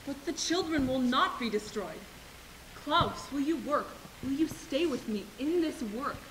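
A young woman acting a resolute, compassionate Mother Superior in a stage play, with earnest, deliberate delivery. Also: high voice, animated. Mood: impassioned. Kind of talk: amateur stage acting in a high school play. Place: theater stage, indoors. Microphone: distant camera. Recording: hissy stage recording from audience camera.

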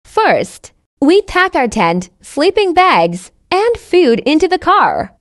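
A woman with a high, lively voice narrates a simple story for language learners. She enunciates each phrase carefully, as a scripted teaching read. The sound is dry and close, without room echo. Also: slow pace. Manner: carefully enunciated, repeats sentences identically. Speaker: narrator of English learning stories.

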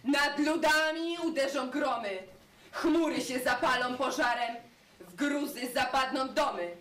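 A stern war goddess, played by a teenage girl on stage, proclaims her prophecy in a slow, high, grave voice. The sound comes from across a large echoing hall. Each line is weighty and declaimed. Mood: solemn prophecy. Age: teens. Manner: slow solemn declaiming, shouted calls. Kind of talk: school stage play. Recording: echoey school hall, far camera.

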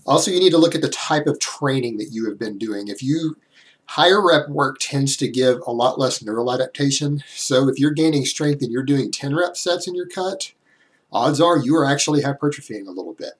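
A man in his thirties, a little hoarse from a cold, explaining fitness science directly to a webcam in a small room at home. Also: medium pitch, brisk. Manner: direct, explanatory, talks with hands. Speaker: fitness YouTuber. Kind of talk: explanatory vlog to camera.

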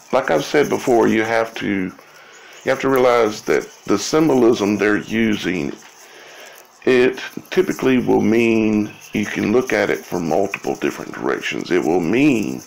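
A man giving an informal explanatory monologue in a halting way, repeating words and often checking in with "okay?" or "right?". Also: fairly low pitch, vocal fry, low-quality recording. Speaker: video creator.

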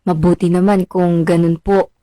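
A woman's voice: fairly low pitch, flat delivery, moderate pace, mellow, dry recording, natural voice. An actress playing a polite, shy young woman visiting a family in a radio drama.

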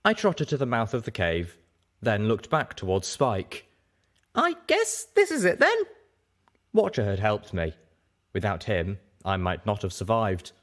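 A man narrating a scripted story as a dramatic audiobook reader. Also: on the low side.

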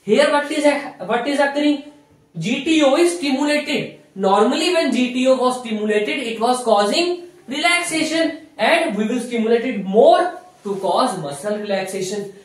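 A very reverberant room surrounds a young man with an Indian accent as he lectures, standing away from the microphone. His delivery is lively and melodic. He explains like a classroom teacher, repeating key phrases and asking questions as he goes. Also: very high voice, normal speed. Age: young adult. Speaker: physiotherapy teacher. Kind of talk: whiteboard lecture. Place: small indoor room in front of a whiteboard. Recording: echoey room, distant microphone.

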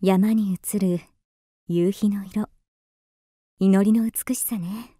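Actress as a mellow, guarded, elegant mourning lady. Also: medium pitch, steady tone, takes it slowly, no room sound, studio quality. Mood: gracious, wistful. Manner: refined, reserved. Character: veiled woman in mourning, wistful, guarded, elegant traveller. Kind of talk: radio drama acting.

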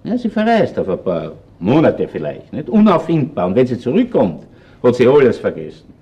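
An actor playing a shrewd, unhurried Viennese chief inspector in a crime radio play, speaking deliberately and wryly with an Austrian accent. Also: male voice, mid-range voice, huge pitch swings, dark tone, lo-fi sound. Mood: wry, explaining.

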